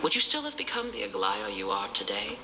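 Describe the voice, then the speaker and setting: An actor voicing a mocking, sadistic villain in a video game, with theatrical, drawn-out taunts over music. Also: mid-range voice, wildly swooping pitch. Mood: cruel taunting. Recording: music under the voice. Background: game soundtrack music.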